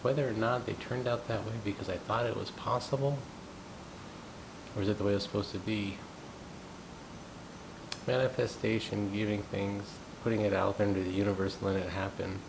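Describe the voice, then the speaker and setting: A man in his thirties, from the United States, talking through his thoughts in a reflective, unhurried personal monologue. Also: creaky. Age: 30s. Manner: thoughtful, unhurried, musing aloud. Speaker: gong practitioner sharing thoughts. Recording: steady low rumbling background noise. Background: rumbling noise.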